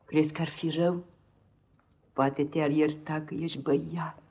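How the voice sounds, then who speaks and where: A devoted nursemaid in a dull-sounding 1950s radio play recording. Her voice is dark and breathy, full of motherly tenderness and sorrow. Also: sits low, little pitch movement. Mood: gentle, advising. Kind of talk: 1950s radio drama acting.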